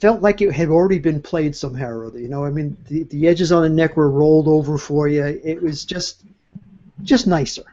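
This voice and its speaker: A man with a fairly high, mellow voice talks in a loose, rambling way, full of fillers and restarts. The sound is dull and lo-fi. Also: fairly quick. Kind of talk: casual chat.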